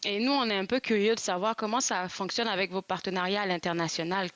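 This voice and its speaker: A woman's voice: medium pitch, steady tone, fast talker, fairly bright tone. A woman hosting a TV talk show, asking direct questions. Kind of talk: TV interview hosting.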